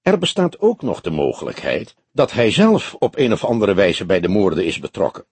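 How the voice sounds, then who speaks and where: Guy doing an old detective in an audiobook, laconic and unhurried, bit of a husky voice, dry sound. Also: on the low side, sing-song, darkish tone, no echo, heavily acted. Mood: pensive, probing. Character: older grey-haired male detective, laconic, unhurried, thoughtful.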